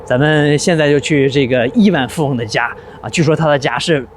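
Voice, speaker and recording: A male channel host narrating a vlog and talking directly to viewers in a lively way, recorded outdoors on a residential street. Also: a little high, fairly quick. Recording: outdoor vlog audio with traffic noise.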